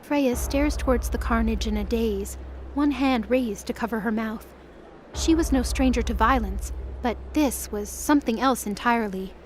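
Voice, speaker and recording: A woman's voice: fairly high pitch, normal speed, a little hiss or hum, poor audio. A woman narrating an audio drama in a dramatic, scripted storytelling style.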